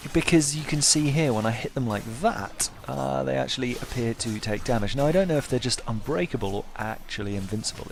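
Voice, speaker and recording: A man's voice: mid-range voice, vocal fry, a little mellow, a little hiss or hum. A man giving casual gameplay commentary as a video host, wry, understated and self-deprecating.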